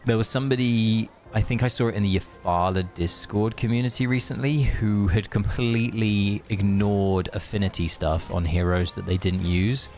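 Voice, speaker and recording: A man's voice: fairly low pitch, fairly even intonation, moderate pace, few pauses, darkish tone. A man streaming a game, chatting casually and rambling in friendly asides to his viewers. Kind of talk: casual live gaming stream chat. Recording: music behind the voice.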